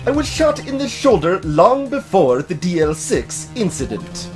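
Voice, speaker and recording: A man voicing a haughty, menacing older male prosecutor in a video game, with pompous, drawn-out emphasis. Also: high voice, very animated, faint music behind. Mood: haughty, defensive. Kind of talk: video game dub acting.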